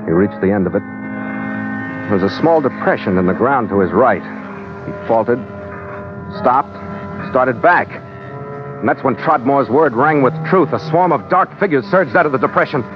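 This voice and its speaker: An American man acting an easygoing sea captain who narrates his adventures in measured, vivid, dryly understated old-time radio style. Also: medium pitch, animated, lightly acted. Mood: grim, tense. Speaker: lead actor and narrator. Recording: muffled vintage radio recording. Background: loud dramatic music.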